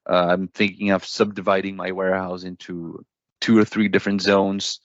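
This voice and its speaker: A man giving a conversational technical product explanation as an interview guest, often checking in with a quick "right?". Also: low-pitched, level tone, no room sound, highs cut off. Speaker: product expert guest from a paging hardware company.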